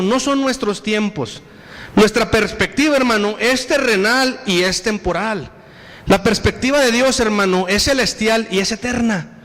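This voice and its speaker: High-voiced Mexican preacher in a reverberant hall. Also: male voice, fairly bright tone. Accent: Mexican Spanish. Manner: preaching with repeated appeals to the congregation, slow emphatic scripture reading. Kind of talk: sermon. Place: church hall.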